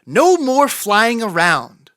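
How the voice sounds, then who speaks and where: Woman voicing an earnest, high-pitched little boy fox. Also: very animated, slow, fairly bright tone, no room sound, clean studio sound, full character voice. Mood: earnest, reassuring. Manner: pleading, emphatic, childlike earnestness. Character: earnest, anxious, caring little young male fox.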